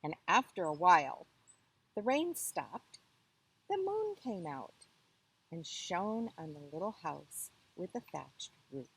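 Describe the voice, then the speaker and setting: A woman storyteller reading a children's tale expressively, with deliberate, dramatic phrasing. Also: fairly low pitch, big pitch swings, breathy edge, low-quality recording.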